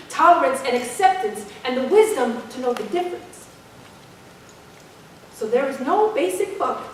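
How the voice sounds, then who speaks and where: In a roomy, echo-heavy hall, recorded from a distance, an actress plays a defiant young female poet. Her voice is high and she delivers her lines with emphatic rhythm and passion. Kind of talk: spoken-word poetry and staged dialogue. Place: staged reading in an arts center hall. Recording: echoey hall, distant mic, faint noise.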